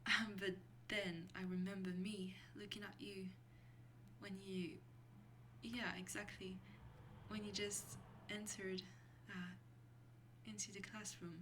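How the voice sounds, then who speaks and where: An affectionate young female vampire played by a woman mentors her lover in an intimate ASMR roleplay. Her voice is soft and breathy at a medium pitch, often slipping into a whisper. She speaks extremely slowly and hesitantly, over clearly audible noise and hum. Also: level tone, close to an everyday voice. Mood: fond, nostalgic. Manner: slow, hesitant, intimate, with small breathy laughs. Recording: clearly noisy recording with hum.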